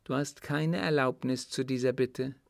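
A proud young storybook heroine, acted out by a male reader, cold and commanding. The voice is lifted high above his own and answers with haughty reserve, recorded very dry. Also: steady tone, normal speed, gravelly, dry recording, lightly acted. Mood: proud, indignant. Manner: haughty, cool, imperious. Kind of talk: audiobook character dialogue.

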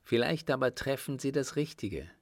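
A man's voice: medium pitch, expressive, no room sound, clean studio sound, pitched above the performer's own voice, lightly acted. Man acting an earnest, suspicious young desert man. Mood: pensive. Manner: deliberate, insistent, formal.

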